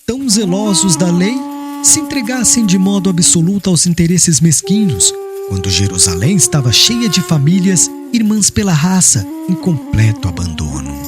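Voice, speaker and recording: Higher-pitched, expressive male audiobook narrator. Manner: solemn, formal, measured phrasing. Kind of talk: dramatic scripted literary narration. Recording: clear music bed under voice.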